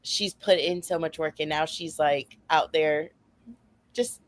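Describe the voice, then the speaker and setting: A woman's voice: low-pitched, a little slow. A woman in a casual book chat, speaking with enthusiasm and emphasis and peppering her talk with filler words like "like" and "you know". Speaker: book discussion panelist.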